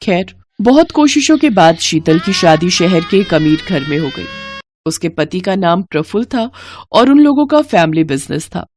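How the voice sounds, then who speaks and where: Low-pitched, very expressive woman storyteller. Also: moderate pace, background noise. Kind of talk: expressive scripted bedtime-story narration.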